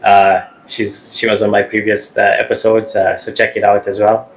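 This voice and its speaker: A man hosting a wellness podcast, asking questions in a halting way, repeating words and saying "you know". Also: lowish voice, little pitch movement, vocal fry, slight background noise, rough recording. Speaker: podcast host. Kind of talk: podcast interview.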